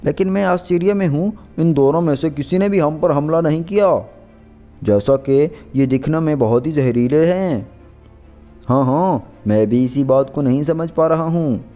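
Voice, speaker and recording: A man playing a mercenary, boastful snake poacher in an animated story, speaking conversationally. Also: on the high side, moderate pace, faint music behind, natural voice. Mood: puzzled, boasting. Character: mercenary male snake poachers, gruffly dismissive.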